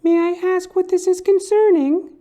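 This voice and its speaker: A woman's voice: very high-pitched, steady tone, normal speed, mellow. A woman playing a meek, flustered secretary with a hesitant, polite manner in a detective dramedy audio play. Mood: prim, defensive. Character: meek, flustered female secretary, apologetic and dutiful.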